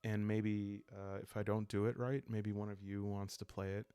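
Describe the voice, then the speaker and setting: A man talking calmly and softly as host of a relaxing solo roleplaying playthrough, thinking aloud with hesitant pauses. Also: on the low side, clean tone, no room sound. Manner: calm, soft-spoken, hesitant pauses while thinking aloud. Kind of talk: calm, rambling sleep-aid game walkthrough.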